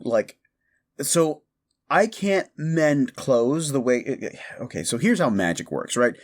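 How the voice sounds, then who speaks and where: A man playing a giddy, mischievous necromancer in a comedic audio roleplay, rambling, stammering and correcting himself excitedly. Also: mid-range voice.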